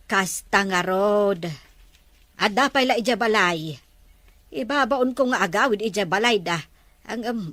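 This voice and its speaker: A woman plays a middle-aged Filipino mother in a family drama, talkative and full of concern. Her voice sits high and the sound is dry. She chides and counsels in an everyday, natural way. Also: a bit bright, no room sound.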